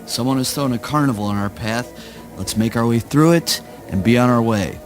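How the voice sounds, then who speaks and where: An actor playing a weary, morbid, dismissive father with deadpan theatrical delivery in a spoken word play. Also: medium pitch, moderate pace, soft background music, lightly acted. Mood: weary, irritated.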